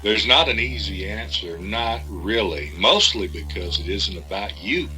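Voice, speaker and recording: A man's voice: lowish voice, expressive, unhurried, sharp tone, soft background music, heavily acted, rough recording. An actor playing a secretive insider informant in an audio drama, evasive and cautioning as he talks. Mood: guarded.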